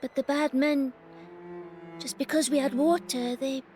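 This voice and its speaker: A scrappy young boy thief in a video game answers back with clipped defiance, as a woman voices him. His voice is high, and faint music runs beneath it. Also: fairly even intonation, unhurried, a little mellow. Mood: sullen.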